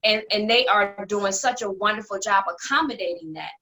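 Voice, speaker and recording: A woman's voice: medium pitch, normal speed, pushed and bright. A woman hosting a casual panel discussion from her home studio over a video call. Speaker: host and theater producer.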